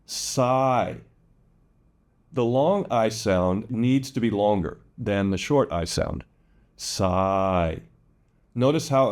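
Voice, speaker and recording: Slow, deliberate male pronunciation teacher. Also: on the low side, darkish tone. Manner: drawn-out, exaggerated vowel demonstrations, repeats words.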